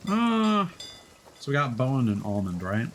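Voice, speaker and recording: A man's voice: medium pitch, wildly swooping pitch, unhurried, slight background noise, rough recording. A man casually commenting on his gameplay as a streamer, chatting through tips with many fillers.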